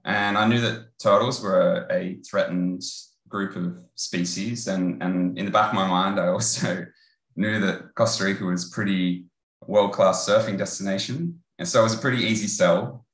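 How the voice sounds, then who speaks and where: A man in his thirties with an Australian accent, giving a relaxed online research talk over a video call. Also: sits low, reverberant, low-quality recording. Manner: relaxed, conversational, with filler pauses. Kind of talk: online lecture presentation. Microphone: earbud headset on video call.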